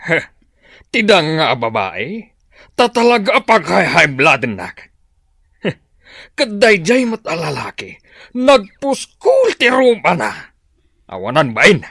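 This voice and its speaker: A man's voice: fairly high pitch, huge pitch swings, slow pace, gravelly, long pauses, fairly bright tone, no echo, studio quality, heavily acted. An actor playing a stern, hot-tempered older father in a radio drama, scolding and indignant. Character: irascible, stern father, middle-aged or older.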